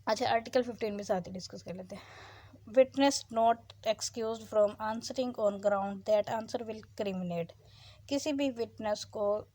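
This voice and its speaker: A woman giving an explanatory law lecture, switching between slow, deliberate English reading and explanation in her own language. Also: mid-range voice, darkish tone, no echo.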